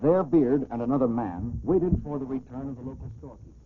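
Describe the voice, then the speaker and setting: An actor playing a grave American police chief, solemnly narrating a case in a 1940s radio crime drama with a husky voice. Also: male voice, mid-range voice, expressive, fairly quick. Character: grave male small-town police chief recounting a case. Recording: tinny, band-limited old radio recording.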